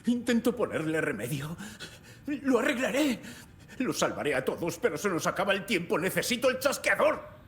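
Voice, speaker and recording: A man's voice: sing-song, fast talker, hardly any pauses, fairly bright tone. An actor playing a haunted male writer, urgent and agitated, in dramatic video game dialogue. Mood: desperate, urgent. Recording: faint background rumble and noise.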